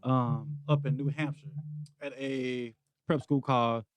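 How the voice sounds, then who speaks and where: A man in his forties from Memphis, a podcast guest telling stories in a relaxed, easygoing way and laughing easily. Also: mid-range voice, measured pace, no echo. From Memphis, Tennessee. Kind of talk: casual conversation.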